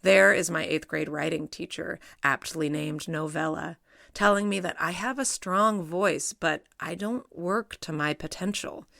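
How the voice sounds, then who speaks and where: A middle-aged woman, a psychologist, reading her own personal essay aloud in a measured, reflective, wry way. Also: lowish voice, vocal fry, fairly bright tone, dry recording, studio quality.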